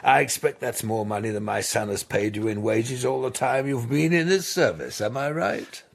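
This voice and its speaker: A man playing an older, suave, scheming aristocrat in a radio drama, husky, urbane and insinuating. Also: mid-range voice, moderate pace. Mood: insinuating, amused.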